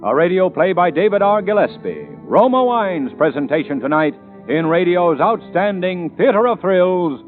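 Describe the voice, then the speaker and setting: A man's voice: high-pitched, sing-song, moderate pace. An American man announcing a radio drama in a dramatic 1940s broadcast style. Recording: tinny vintage radio, faint music.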